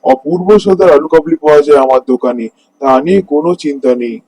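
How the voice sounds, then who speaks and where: A man plays a crafty, greedy street food seller from a cartoon morality tale. His voice sits fairly high for a man, with a stubborn, defensive hawker's patter. The recording is close and dry. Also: fast, mellow, no echo, rough recording. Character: greedy, dishonest male street snack vendor.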